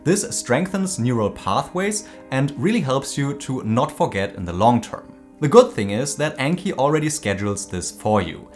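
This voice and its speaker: Lively German man hosting a video. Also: mid-range voice, normal speed, hardly any pauses, soft background music. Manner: lively, animated explaining. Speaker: language learning host. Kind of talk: scripted video essay.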